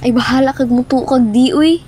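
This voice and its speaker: A woman plays an excitable young gossip in a radio drama, with a Filipino accent. Her voice sits high and she pushes her friend insistently. There is faint ambient noise behind her. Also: a little fast, natural voice. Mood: insistent, gossipy.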